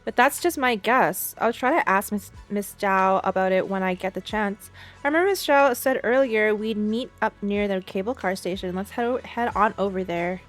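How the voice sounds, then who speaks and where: A woman's voice: medium pitch, moderate pace, faint music behind. Woman streamer, casual and sarcastic. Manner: sarcastic, blunt asides. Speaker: streamer reacting to a game. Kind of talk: casual reaction commentary, reading dialogue aloud.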